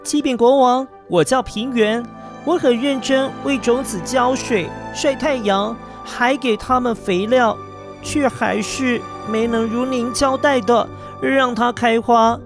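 A man's voice: very high voice, fairly even intonation, slow, over music, voice pushed up, exaggerated voice. A man voicing an earnest, humble young boy who speaks politely and apologetically in a children's storybook tale. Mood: humble, apologetic.

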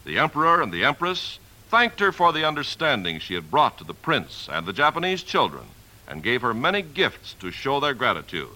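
A male announcer in old-time radio style, reading polished copy formally. His delivery is extremely animated and forceful, at a medium pitch. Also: a little fast, low-quality recording. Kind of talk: 1950s scripted radio narration.

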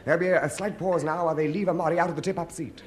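A man plays an earnest ringside commentator in a vintage radio comedy. He announces formally and excitably in a high voice, over clear recording noise. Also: very fast, close to an everyday voice. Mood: excited. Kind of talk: mock 1950s sports commentary. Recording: noisy old radio recording.

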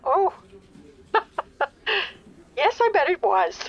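An older mother on the phone, in a slightly muffled recording. Her fairly high voice swoops expressively, fretful, impatient and emphatic, like an exasperated customer in a naturalistic audio comedy. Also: sing-song, extremely slow, heavily acted. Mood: flustered. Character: anxious, exasperated older mother calling about her bill.

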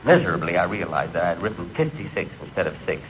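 A man's voice: sits low, very animated, fast talker. An actor playing a hapless, melancholy man who narrates his humiliations in a 1940s radio comedy. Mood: rueful, rattled. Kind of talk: comic first-person narration, 1940s radio. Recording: muffled, noisy 1940s radio recording.

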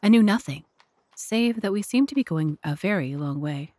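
A woman's voice: mid-range voice, lively intonation, moderate pace, dark tone, dry recording. A woman narrator and host reading scripted narration for an audio drama.

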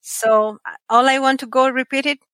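Bright high-voiced Kenyan woman. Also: dry recording. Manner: expressive storyteller, measured and earnest. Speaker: podcaster guest. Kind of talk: conversational interview.

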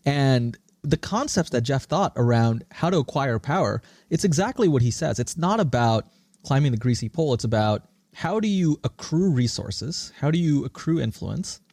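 Mellow American-accented man, earnest. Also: mid-range voice, no room sound, clean studio sound. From born in India, raised in Singapore. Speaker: podcast guest, startup CEO. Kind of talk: articulate interview storytelling.

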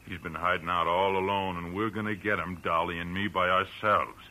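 A man's voice: sits low, fairly even intonation, fairly quick, sharp tone. An American man playing a pushy, hot-headed schemer from the country in punchy 1940s radio drama style. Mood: angry, threatening. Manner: insistent, punchy, plain-spoken. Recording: hissy old radio broadcast recording.

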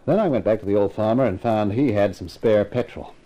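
An actor playing a tough, cocky private eye with slangy, hardboiled delivery in a 1950s radio crime drama. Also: male voice, on the low side, fairly quick, mellow, lo-fi sound, close to an everyday voice. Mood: wry narration. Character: tough, wisecracking, confident male private eye. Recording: vintage 1950s radio recording.

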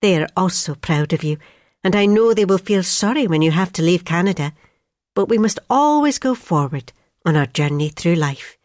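Woman playing a proud, chatty mother, lowish voice. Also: lively intonation, moderate pace, a little mellow, dry recording, clean studio sound, lightly acted. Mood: proud, tender. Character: proud, chatty, affectionate mother writing to her airman son. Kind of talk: read-aloud wartime family letter.

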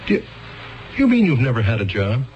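A man acting in a 1970s radio drama as an excitable, skeptical defense lawyer, talking in a colloquial, halting, coaxing and exasperated way. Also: mid-range voice. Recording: dull 1970s radio broadcast recording.

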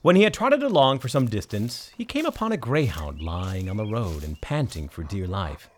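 A man reading a folk tale as a storybook narrator, in a measured, expressive way. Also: fairly low pitch. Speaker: story reader.

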